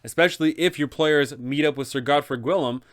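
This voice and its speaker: A man's voice: fairly high pitch, a little fast, a bit bright. A man narrating advice for game masters, enthusiastic and speaking straight to viewers. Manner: enthusiastic, addresses viewers directly. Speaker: game master guide host.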